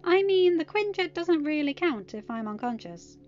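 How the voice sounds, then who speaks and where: A woman voicing an anxious, soft-hearted teenage boy with a hesitant, sincere manner in an audiobook story. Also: high voice, very animated, normal speed, lo-fi sound, soft background music, pitched above the performer's own voice. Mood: excited.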